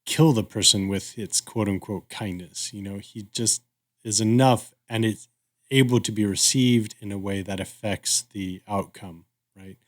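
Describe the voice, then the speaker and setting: Lower-voiced man, thoughtful and agreeable. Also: a little slow. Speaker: podcast co-host. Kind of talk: casual discussion.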